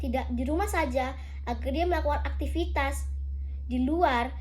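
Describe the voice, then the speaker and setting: A young woman narrating a folktale as a contestant, in a deliberate, theatrical way. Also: high voice, a little mellow. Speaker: storytelling contestant.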